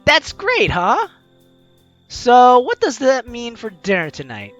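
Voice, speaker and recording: A video game host with an animated, teasing, exclamatory way of talking, reading aloud and commenting playfully. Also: mid-range voice, slow, no echo, lo-fi sound, soft background music. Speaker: let's-play video host.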